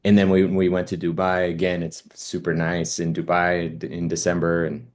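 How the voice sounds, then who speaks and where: Deep-voiced American host, friendly. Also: male voice, flat delivery, normal speed. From United States. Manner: friendly, short agreeing replies. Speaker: podcast host. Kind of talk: casual interview chat.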